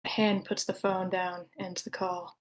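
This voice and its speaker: A woman's voice: on the low side, flat delivery, measured pace, rough recording. A woman reading stage directions as the narrator of a screenplay table read.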